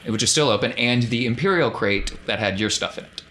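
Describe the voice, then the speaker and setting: A man's voice: mid-range voice, a little fast, pushed and bright. A man narrating a tabletop role-playing game as game master, describing scenes in a conversational, improvised way.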